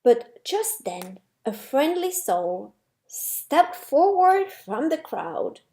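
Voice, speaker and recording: High, mellow-voiced woman reading a story. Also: big pitch swings, very slow. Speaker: story reader. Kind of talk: expressive storybook narration.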